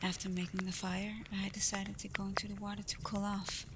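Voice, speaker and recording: A woman playing a caring, teasing female alpha werewolf in an intimate, softly spoken ASMR roleplay. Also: medium pitch, monotone, heavy background noise, close to an everyday voice. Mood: fond, chatty. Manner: playful, unhurried, soft, at times whispering. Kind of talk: ASMR roleplay, intimate acting addressed to listener.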